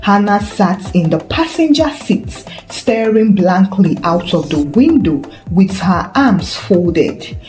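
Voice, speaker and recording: A woman's voice: mid-range voice, big pitch swings, slow, darkish tone. A woman narrating a dramatic scripted story as a storyteller. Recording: roomy echo with faint music. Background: faint background music.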